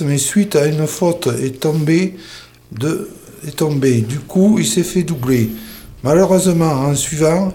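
A man's voice: fairly high pitch, mellow. A man reading a local sports report in a plain way, with small slips and restarts.

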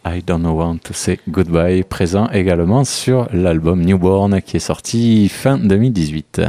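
A man's voice: deep voice, a little slow, dark tone, faint music behind. A man hosting a casual radio interview in a studio, often stumbling and repeating words as he frames his questions. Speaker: radio host.

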